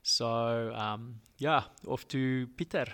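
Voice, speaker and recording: A man's voice: mid-range voice, takes it slowly, a bit bright, clean tone. A man with an ordinary mid-range voice hosts a casual podcast from a campsite. He rambles loosely through the introduction, leaning on fillers and laughing easily. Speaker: podcast host.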